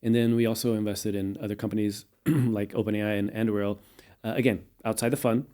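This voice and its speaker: Guest man, low and mellow, measured and halting, raised in Portugal. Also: fairly even intonation. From United States, raised in Portugal. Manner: measured, many fillers and restarts. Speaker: guest, venture capitalist. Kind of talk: casual interview answers.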